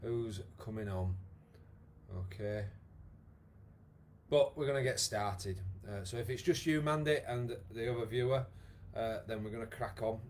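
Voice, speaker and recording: A man with a croaky voice, leading a live online exercise class with many fillers. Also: on the low side, unhurried, a little hiss or hum. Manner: chatty, encouraging, lots of fillers. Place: home room with wall and bar. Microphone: device camera, moves away at times.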